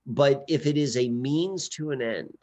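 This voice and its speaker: A man's voice: medium pitch, unhurried, dark tone. A man in his forties, a podcast guest, talking casually in a rambling way with many fillers and restarts. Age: 40s. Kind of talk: casual conversation.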